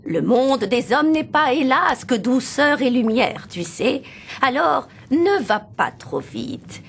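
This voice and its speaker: A woman voicing a kindly, solemn old mermaid grandmother in an animated fairy tale, measured and tender. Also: sits high, gravelly, a little hiss or hum, heavily acted. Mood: solemn warning. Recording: music behind.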